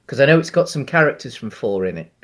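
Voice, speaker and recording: A man with a middle-pitched voice co-hosts a film podcast. He talks casually and hesitantly, feeling his way through each point in a halting delivery. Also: sing-song. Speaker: podcast co-host.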